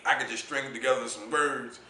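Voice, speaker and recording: A man with a deep voice, speaking as an interview guest in a laid-back, reflective way about his poetry and youth mentoring. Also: steady tone, fairly quick, pushed and bright, roomy sound, a little hiss or hum. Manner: laid-back, reflective, says "you know what I'm saying" often. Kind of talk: casual interview talk.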